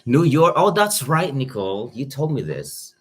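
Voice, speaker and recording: A man's voice: medium pitch, big pitch swings, moderate pace, breathy edge, soft background music. A young man with a Filipino accent chatting casually on a livestream, greeting viewers by name as he talks. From Philippines. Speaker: YouTube reactor.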